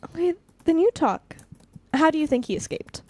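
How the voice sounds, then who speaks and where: A woman's voice: sits high, sing-song, normal speed, a little mellow, no room sound. A woman playing a blunt, hot-tempered but caring young vigilante in a naturalistic audio drama, speaking emphatically. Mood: angry, scornful.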